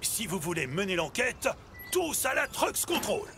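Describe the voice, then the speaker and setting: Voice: medium pitch, very animated, a little slow, background noise. An actor voicing a hearty grown-up talking truck in a French children's cartoon, theatrical and emphatic. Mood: rousing. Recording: loud music bed behind the voice.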